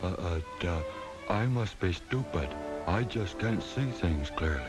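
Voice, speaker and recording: Dopey self-doubting cartoon creature, low voice, hesitant, loud music, old film soundtrack. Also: male voice, animated, a little slow. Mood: bewildered. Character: slow-witted, confused, self-doubting cartoon thought creature. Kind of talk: 1940s cartoon voice.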